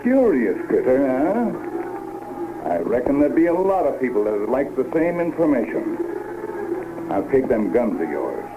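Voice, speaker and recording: A wary cowboy range guard blusters in folksy western slang. His mid-pitched voice rises and falls sharply. It comes from an old radio drama on a tinny, hissy recording. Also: wildly swooping pitch, unhurried. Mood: menacing, suspicious. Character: suspicious, threatening cowboy gunhand guarding a range. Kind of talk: 1950s radio western acting. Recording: tinny noisy old radio tape rip.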